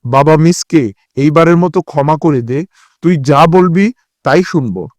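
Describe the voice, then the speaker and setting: A vain, scheming cartoon landlord, played by a man with a somewhat high voice. He is greedy and self-important, and can turn from ordering to wheedling. The recording is very dry. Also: a little slow, no echo, natural voice. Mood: pleading. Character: greedy, scheming village landlord, vain about his honour. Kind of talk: cartoon voice acting.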